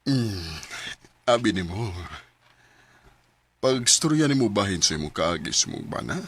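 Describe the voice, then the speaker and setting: A man's voice: mid-range voice, slightly rough, heavily acted. An actor playing an aging widowed man tenderly courting a younger woman in a Cebuano radio romance drama, with a Cebuano accent.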